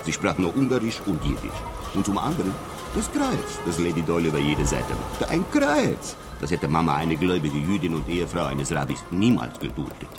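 A man's voice: low voice, wildly swooping pitch, a little fast, background noise. An actor playing a scornful showman in a radio drama, mocking and emphatic as he debunks trickery. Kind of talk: German radio drama acting.

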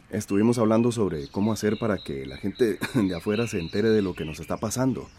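An actor playing a shy but persistent village suitor in a radio drama, hesitant and ingratiating, with a Central American accent. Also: male voice, fairly low pitch, lively intonation, moderate pace, dark tone, close to an everyday voice. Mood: bashful, flattering. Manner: hesitant, throat-clearing, ingratiating. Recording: faint outdoor ambience noise.

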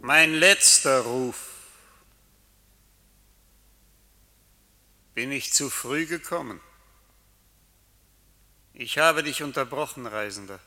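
A man's voice: medium pitch, pushed and bright, full character voice. Menacing man, reverberant and piercing. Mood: mocking. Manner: declamatory, taunting, deliberate phrasing. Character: menacing, cruelly mocking male night rider. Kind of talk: literary radio play. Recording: long hall reverb.

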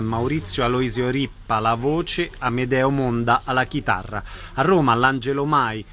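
A man reading a scripted radio announcement, measured, giving details phrase by phrase. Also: mid-range voice, a bit bright.

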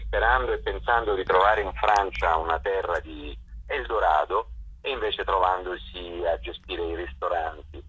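A man giving an unscripted radio interview, presenting a programme in long enumerating explanations with hesitant pauses. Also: deep voice, fairly bright tone, slightly rough. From Italy. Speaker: festival director being interviewed. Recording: muffled, band-limited phone line.

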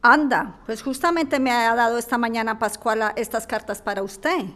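A woman's voice: fairly high pitch, normal speed, fairly bright tone, close to an everyday voice. A woman playing a patient, polite cleaner in an audio drama, deferential but firm about respect. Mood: helpful. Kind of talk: acted audio drama dialogue.

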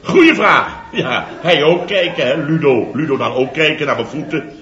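An actor playing a boastful middle-aged contractor, telling a comic story in a theatrical, chatty way for a 1970s radio comedy serial. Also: male voice, on the high side, expressive, moderate pace, fairly bright tone, echoey room, highs cut off. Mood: mocking.

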